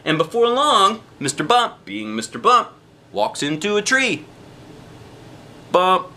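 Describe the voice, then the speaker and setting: A man reads a children's storybook aloud with a bright, slightly high voice. His pitch moves around a lot as he narrates. He is expressive and leans on key phrases, stretching them out for emphasis. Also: takes it slowly. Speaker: storybook reader, a dad.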